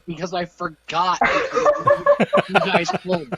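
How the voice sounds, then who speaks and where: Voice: medium pitch, huge pitch swings, slow pace, over a noisy crowd, poor audio. A player on a tabletop podcast chatting, stammering and laughing while talking. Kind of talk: casual chat.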